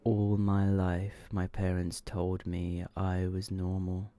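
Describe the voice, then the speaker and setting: Deep, hushed ASMR man reading horror. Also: completely flat delivery, takes it slowly, no echo. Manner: hushed, soft-spoken, often slipping toward whisper. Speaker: ASMR host reading short horror stories. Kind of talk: ASMR scripted narration.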